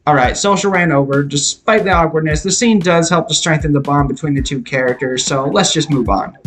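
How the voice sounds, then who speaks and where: A man reading a scripted film review in an opinionated, explanatory way. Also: on the high side. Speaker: video reviewer. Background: background music.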